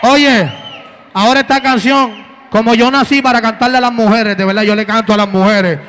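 A Puerto Rican man with a Puerto Rican accent, performing reggaeton and shouting out to the crowd between verses. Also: very high-pitched, steady tone, fairly quick. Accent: Puerto Rican Spanish. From Puerto Rico. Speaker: reggaeton singer. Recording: loud backing music.

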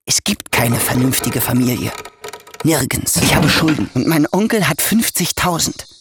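Guy playing a sulky young nephew in a radio play, broody and bitter, normal pitched voice. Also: lively intonation, moderate pace, noisy background, lightly acted. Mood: sullen. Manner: sullen, brooding, complaining. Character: bitter young male nephew, indebted and resentful.

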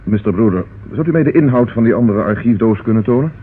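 Low-voiced, methodical inspector played by a man. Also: animated, close to an everyday voice. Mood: probing. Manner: measured, polite, probing. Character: calm, methodical, courteous male police inspector. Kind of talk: radio play acting. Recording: noisy, tinny old radio recording.

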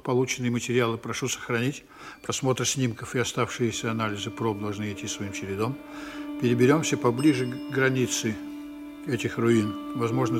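A man's voice: medium pitch, lightly acted. An actor playing a stern, weary male starship commander who gives curt, clipped orders in a radio drama.

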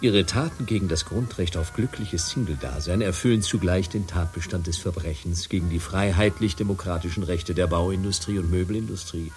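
A man playing a deep-voiced bureaucratic prosecutor. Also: a little fast, hardly any pauses, darkish tone, faint music behind, close to an everyday voice. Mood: formal. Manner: formal, listing, bureaucratic. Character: stern male official reading out charges. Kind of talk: radio play acting.

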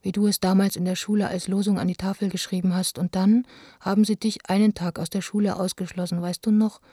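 Actress as young woman, defiant theatre director, mellow voice, flat intonation, confiding letter monologue, radio drama. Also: medium pitch, brisk, husky, dry recording, clean studio sound, close to an everyday voice. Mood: weary, yearning. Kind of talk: radio play, letters read as intimate monologue.

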